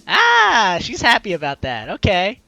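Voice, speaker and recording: A young adult host doing casual, playful game commentary and theatrically reading the dialogue aloud in an excitable way. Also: medium pitch, a little slow, lo-fi sound. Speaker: let's play host. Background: faint game music.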